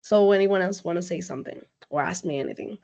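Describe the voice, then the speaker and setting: A woman, a company CEO, speaking casually as a guest on a video call, laughing often and filling pauses with "um". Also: on the low side, vocal fry, warm tone, highs cut off. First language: Spanish. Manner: chatty, laughs often, many fillers. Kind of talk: casual online talk.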